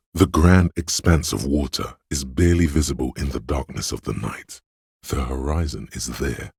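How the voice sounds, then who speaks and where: A man playing a detective's analytical inner voice in a video game, speaking with grand, deliberate precision and a deep, gravelly, husky tone. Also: a little mellow, no echo, clean studio sound, exaggerated voice. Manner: deliberate, precise, portentous, theatrical. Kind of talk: dramatic video game voice acting, measured narration.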